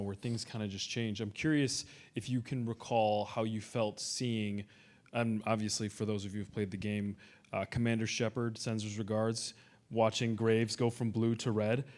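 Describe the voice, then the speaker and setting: A man moderating a convention panel in chatty, fannish style, peppering questions with "like" and quoted reactions. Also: fairly low pitch. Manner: fannish, uses "like" often, acts out reactions. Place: convention panel before an audience.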